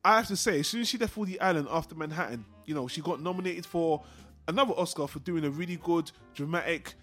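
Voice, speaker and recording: Male film-show co-host, high register, rapid casual delivery, blunt and opinionated, faint music underneath. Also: a bit bright.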